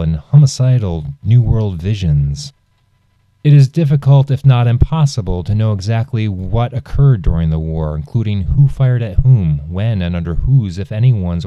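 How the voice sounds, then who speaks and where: A male narrator reads nonfiction from a script in a low, very dark and soft-edged voice. His delivery is measured and serious. The sound is close and dry, with little room around it. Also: no room sound, lo-fi sound. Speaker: audiobook narrator.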